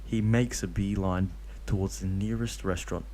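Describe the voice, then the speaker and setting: A man's voice: deep voice, fairly even intonation, moderate pace, mellow, slight background noise. A man reading fanfiction aloud with frequent slips and restarts, then chatting casually about it. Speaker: fanfiction reader.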